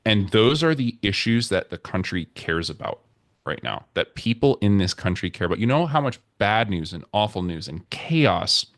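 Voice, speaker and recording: Very deep-voiced man, earnest and rousing. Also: very animated, normal speed, no echo, studio quality. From United States. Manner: earnest, rallying speech-like delivery. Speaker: podcast guest, nonprofit consultant. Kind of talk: conversational interview.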